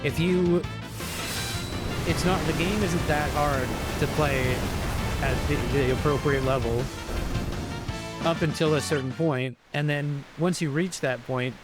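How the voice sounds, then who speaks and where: A man's voice: fairly high pitch, fairly even intonation, a little slow, long pauses. A man giving casual, rambling, explanatory commentary as he plays through a video game. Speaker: gameplay commentator. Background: game music.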